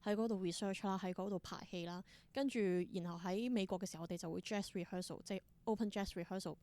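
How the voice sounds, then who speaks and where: A woman's voice: medium pitch, fast, dark tone. A woman with a Hong Kong Cantonese accent speaking as an interview guest, telling stories casually and acting out bits of dialogue. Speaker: interview guest, theatre performer and creator. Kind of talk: casual conversational storytelling.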